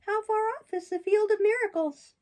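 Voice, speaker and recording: A woman voicing a naughty, excitable wooden puppet boy in a storybook reading, with a high, eager delivery. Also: normal speed.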